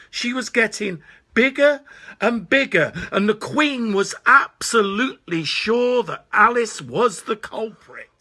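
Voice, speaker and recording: A man reading a children's bedtime story with an expressive, deliberate delivery. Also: sits high, breathy edge.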